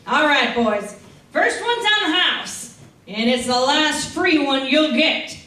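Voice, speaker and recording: A woman playing a big, bossy, gun-toting saloon woman in an old-time radio western, with loud, folksy talk. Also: high-pitched, expressive, slow pace, full character voice. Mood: bossy, tough. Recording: distant, very echoey stage recording.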